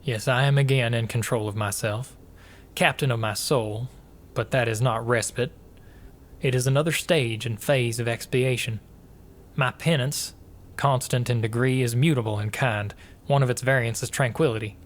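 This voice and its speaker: An actor playing a tormented husband confessing to murder, reading a gothic tale in a somber, formal, literary manner. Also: male voice, mid-range voice, fairly even intonation, moderate pace, natural voice. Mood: bleak, melancholy. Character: tormented, guilt-ridden husband confessing to murdering his wife. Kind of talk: dramatic first-person reading of a gothic tale.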